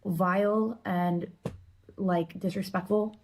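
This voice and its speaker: Woman, medium pitch, earnest, opinionated, halting delivery, casual explanatory monologue. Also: fairly even intonation, darkish tone, a little hiss or hum.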